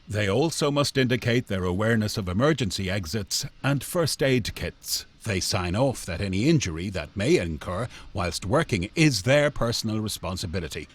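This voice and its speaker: A man's voice: fairly low pitch, breathy edge. A man playing a formal narrator in a radio play, reading out in a dry, official manner. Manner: dry, official, measured wording. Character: formal male narrator reading factory reports and stage directions.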